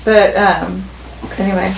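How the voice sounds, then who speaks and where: Gravelly, hesitant woman in an echoey room. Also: mid-range voice, fairly even intonation, unhurried, a little hiss or hum. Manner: hesitant, many ums and likes. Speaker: study group member. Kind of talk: casual sharing in a group.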